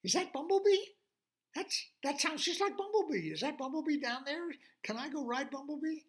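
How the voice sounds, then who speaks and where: Man voicing an excitable high-pitched girl. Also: slow pace, exaggerated voice. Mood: excited. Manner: questioning, excitable. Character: eager, anxious blind girl, storybook princess.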